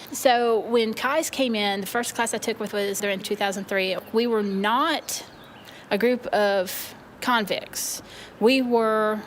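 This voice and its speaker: A woman giving a reflective interview, speaking in halting, emphatic phrases that she often repeats and rebuilds. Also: medium pitch. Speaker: interviewee, former prison art student. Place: outdoors in a park. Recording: outdoor interview with ambient noise.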